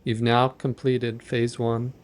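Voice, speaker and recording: A man leading a guided meditation in a gentle, measured, softly instructive manner. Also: mid-range voice, completely flat delivery.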